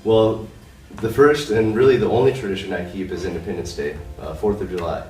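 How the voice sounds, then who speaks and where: A man with an American accent giving a deliberate, earnest answer as an interviewee. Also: low voice, a little mellow. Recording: echoey room, distant mic, faint music.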